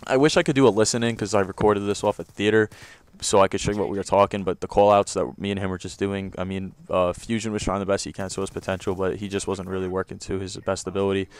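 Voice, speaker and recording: A young man with a deep voice giving loose, rambling commentary over his own gameplay, recorded on a close home mic. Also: flat delivery, fast, smooth, dry recording. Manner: rambling, self-correcting, loose slang. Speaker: gaming commentator.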